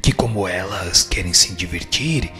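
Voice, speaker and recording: A man's voice: sits low, measured pace, pushed and bright. A man with a Brazilian accent playing a wise, firm spirit who gives formal, didactic answers in a scripted radio drama. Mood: solemn, instructive. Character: instructive male spirit, wise, firm and solemn. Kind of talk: scripted dialogue, formal reading. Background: music.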